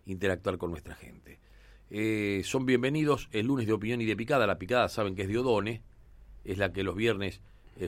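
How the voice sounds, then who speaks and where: A man with an Argentine Rioplatense accent hosting sports radio talk in a studio, chatting conversationally and filling pauses with "eh" and "este". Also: deep voice, no echo. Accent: Argentine Rioplatense Spanish.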